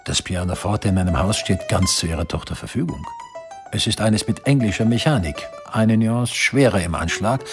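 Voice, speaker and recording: An actor playing an earnest eighteenth-century physician in a radio drama, reserved, probing and deliberate. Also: male voice, on the low side, music in the background, natural voice. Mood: assured.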